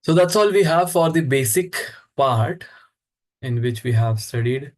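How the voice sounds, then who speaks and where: A man teaching an online coding class, explaining each step slowly and checking in with his students. His voice sits at an ordinary middle pitch. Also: lively intonation. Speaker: instructor. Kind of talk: live coding lesson.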